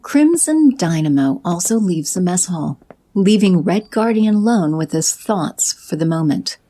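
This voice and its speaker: A woman narrating an audio drama in an even, descriptive way. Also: on the low side, unhurried.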